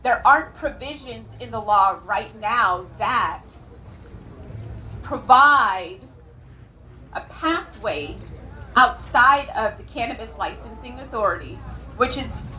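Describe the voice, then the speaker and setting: A woman from California with an American accent, speaking emphatically and deliberately as a panellist at a public event. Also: fairly high pitch, pushed and bright, poor audio. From California, United States.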